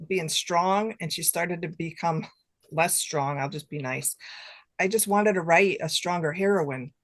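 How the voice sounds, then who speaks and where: An older woman chatting casually and informally as a guest author in an interview, with a giggly streak. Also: fairly low pitch. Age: late 50s to 60s.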